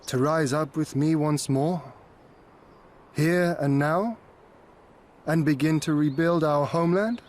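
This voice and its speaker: Slow, solemn male leader. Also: a little high, a little mellow. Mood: stirring, resolute. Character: solemn, earnest male leader of a liberated homeland. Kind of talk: dramatic video game cutscene acting.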